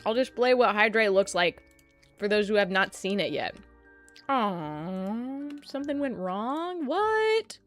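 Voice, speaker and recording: A woman's voice: medium pitch, very animated, slow. Chatty, swearing woman streamer. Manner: chatty, swears often, laughs a lot, reads chat aloud. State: sleepy voice. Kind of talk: casual livestream chat while setting up a 3D model. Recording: faint music underneath.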